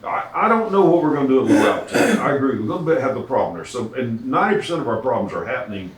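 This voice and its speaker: Older male, mid-pitched, assertive informal debater, ex-controller, roomy distant sound. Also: big pitch swings, rapid-fire. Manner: blunt, assertive, poses questions and answers them. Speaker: retired air traffic controller. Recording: echoey room, distant mic.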